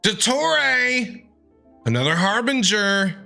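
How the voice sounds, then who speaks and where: Bright, animated male gaming streamer. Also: sits high, slow, creaky. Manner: expressive, playful, reading and responding aloud. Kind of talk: casual game reaction commentary. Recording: game music behind.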